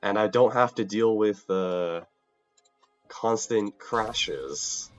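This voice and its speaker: A man's voice: on the low side, takes it slowly, highs cut off, faint music behind. A young man chatting casually on a livestream as he plays a game. He is a little sick and tired, his voice slightly nasal, and he rambles and restarts his sentences often. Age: young adult. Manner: rambling, self-interrupting, laughs often. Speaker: streamer. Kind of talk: casual livestream chat while gaming.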